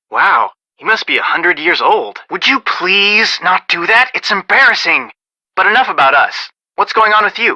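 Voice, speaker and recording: A man's voice: fairly high pitch, pushed and bright, no room sound, low-quality recording. An actor playing an earnest young male detective in a video game, articulate and dryly sarcastic in a conversational way. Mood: mildly exasperated.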